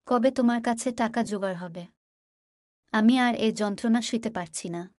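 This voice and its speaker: A woman playing a worried, exasperated poor village mother in a cartoon, plaintive and quick to scold. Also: a little high, darkish tone, no room sound, studio quality, lightly acted. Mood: anguished.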